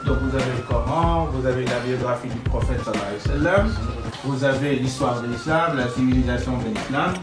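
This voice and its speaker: A man's voice: medium pitch, quick pace, roomy sound, over loud music. A man from Senegal, an imam, guiding visitors around his centre and explaining, with many fillers. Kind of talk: guided tour explanation.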